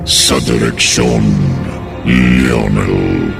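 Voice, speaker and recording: A man with a Filipino accent narrating a horror radio drama in an ominous, theatrical, gravelly style, very slowly. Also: extremely low pitch, steady tone. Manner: slow, theatrical. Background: music.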